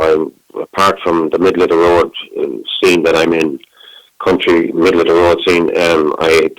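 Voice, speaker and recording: Brief: low-pitched middle-aged man on the phone, dry sound, rambling guest chat. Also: no room sound, rough recording. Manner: rambling, warm self-talk with many restarts. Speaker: singer, interview guest. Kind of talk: casual phone interview.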